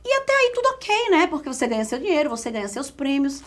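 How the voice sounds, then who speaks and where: Lively Pernambuco woman telling her story. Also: sits high, fast talker, a little hiss or hum. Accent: Pernambuco Brazilian Portuguese. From Caruaru, Pernambuco, Brazil. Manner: expressive, emphatic, lively storytelling with repeated phrases. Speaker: guest, communication specialist. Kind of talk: casual interview storytelling.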